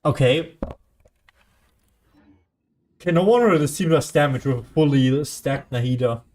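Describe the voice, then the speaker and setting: A woman's voice: rumbling low, unhurried, darkish tone. A woman giving casual gameplay commentary, understated and musing to herself as she plays. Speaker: game streamer.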